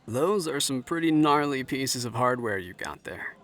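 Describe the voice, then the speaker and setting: Man playing a bright-voiced, self-assured tech executive. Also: mid-range voice, lively intonation, normal speed, close to an everyday voice. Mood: self-assured, flattering. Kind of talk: audio drama acting.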